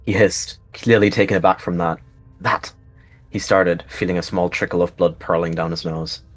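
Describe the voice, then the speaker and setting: A man's voice: low-pitched, fairly even intonation, fairly quick, soft background music. A man giving dramatic third-person narration of his character in a roleplayed story.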